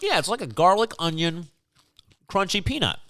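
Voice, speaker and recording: Man chatting casually, lively pitch swings. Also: normal speed. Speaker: podcast co-host. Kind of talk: casual snack-tasting chat.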